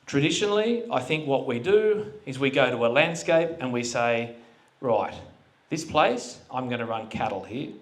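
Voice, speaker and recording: A man's voice: mid-range voice, expressive, moderate pace. A man giving a casual talk at a farming forum, plain-spoken and quizzing the audience with questions. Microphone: handheld microphone that cut out.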